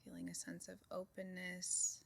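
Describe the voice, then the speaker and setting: A woman leading a guided meditation in a calm, soothing way, giving soft instructions with unhurried pauses. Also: fairly low pitch, vocal fry. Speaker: meditation group leader.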